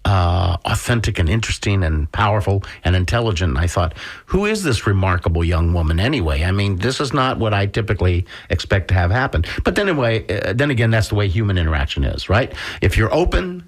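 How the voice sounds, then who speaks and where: A man with a deep voice co-hosts a conversational radio show from a studio. He muses aloud and gently prompts his guest. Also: sing-song, fast talker, hardly any pauses. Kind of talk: conversational interviewing.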